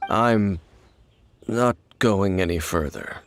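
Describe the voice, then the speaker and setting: A man playing an aloof, world-weary swordsman in an anime-style video game, speaking in a grave, measured, formal way. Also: low voice, very noisy. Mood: cool. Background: game music.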